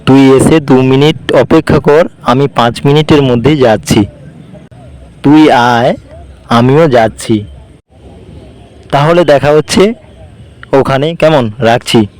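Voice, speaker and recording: Man acting a casual young cartoon guy, medium pitch. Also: takes it slowly, pauses often, darkish tone, lightly acted. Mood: casual, earnest. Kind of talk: cartoon dialogue, casual phone chat between friends.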